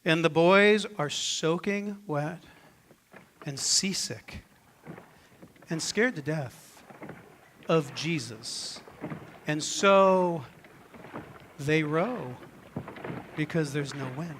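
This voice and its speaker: A male preacher giving a theatrical, suspenseful dramatic reading as sermon storytelling, with deliberate pauses. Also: on the high side, fairly bright tone, a little hiss or hum. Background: music and sound effects.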